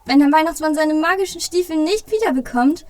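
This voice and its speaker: A woman's voice: high voice, fairly quick, no room sound, natural voice. A woman playing a bossy but worried helper elf who calls out orders in a family Christmas audio play. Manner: commanding, exclaiming.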